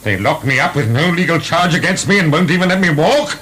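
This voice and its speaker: Proud, theatrical imprisoned marquis, swooping voice. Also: male voice, a little high, lively intonation, fairly quick, sharp tone. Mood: defiant, anguished. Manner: theatrical, declamatory, sardonic. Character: proud, defiant, mocking imprisoned French marquis. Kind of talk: radio drama acting.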